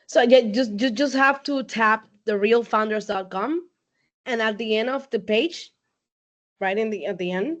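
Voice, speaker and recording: A woman, a company CEO, speaking casually as a guest on a video call, laughing often and filling pauses with "um". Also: on the high side, lo-fi sound. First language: Spanish. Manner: chatty, laughs often, many fillers. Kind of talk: casual online talk.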